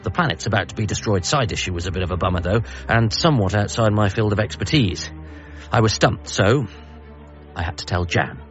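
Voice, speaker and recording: A man's voice: fairly low pitch, fairly quick, muffled recording, natural voice. Man as wry storyteller over faint music. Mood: wry, conversational. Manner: wry, deadpan asides. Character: wry, self-deprecating male narrator telling his own story. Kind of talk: first-person comedy drama narration.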